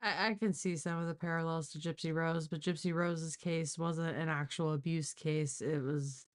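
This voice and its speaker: A woman's voice: low-pitched, fairly even intonation, normal speed. A woman hosting a live stream, giving casual, blunt and mocking reaction commentary full of exclamations. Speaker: live stream host reacting to a crime case.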